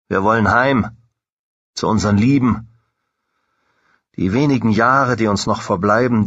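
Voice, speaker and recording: A low-voiced, husky veteran soldier of antiquity, worn out and longing for home. He delivers a solemn dramatic monologue as in an audio play. The recording is dry and a little dull. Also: a bit bright, no room sound, heavily acted. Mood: weary, bitter. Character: weary, battle-scarred aging Macedonian veteran soldier, homesick.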